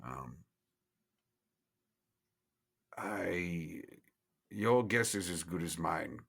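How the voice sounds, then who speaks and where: A man voices an ancient vampire in a tabletop horror game. He speaks low and deliberately, keeping things close, with a wry edge. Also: lively intonation, creaky, a bit bright, husky, heavily acted. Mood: musing. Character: guarded, centuries-old male vampire, gruff but wry.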